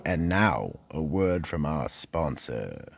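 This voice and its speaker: A man with a deep gravelly voice reading scripted narration for a radio mystery drama. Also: big pitch swings, takes it slowly, noisy background.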